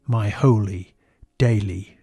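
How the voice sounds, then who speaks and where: A man reading a scripted story and poetry in a measured, dramatic, reverent way, as a narrator. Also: lowish voice, warm tone, breathy edge, dry recording, studio quality. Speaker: narrator and poet reading own work.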